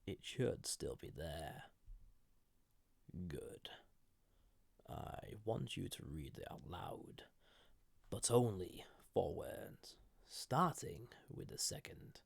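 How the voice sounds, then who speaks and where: A man voicing an ancient banished villain entity in an intimate audio roleplay, soft-spoken and formal, with a gravelly, husky voice that often drops to a whisper. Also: low voice, expressive, dry recording, full character voice. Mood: cautious, instructing. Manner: slow, halting, gently condescending, formal. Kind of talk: intimate scripted audio roleplay addressed to listener.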